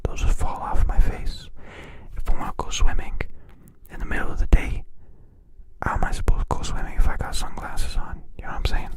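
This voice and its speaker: A man, a native Polish speaker raised in Canada, whispers a playful live-stream chat close to the mic and softly repeats words for effect. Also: fairly quick. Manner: whispering throughout.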